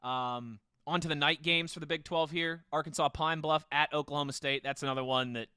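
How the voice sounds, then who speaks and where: A man's voice: a little high, steady tone, a little fast, sharp tone, clear, resonant tone, dry recording, clean studio sound. A man hosting a casual football podcast in a conversational way, steering the discussion. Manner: conversational, steers questions to co-hosts. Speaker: podcast host.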